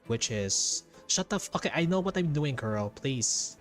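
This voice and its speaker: A man giving casual, jokey game playthrough commentary as a streamer, with drawn-out reactions. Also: medium pitch, expressive, a bit bright. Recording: game music behind the voice.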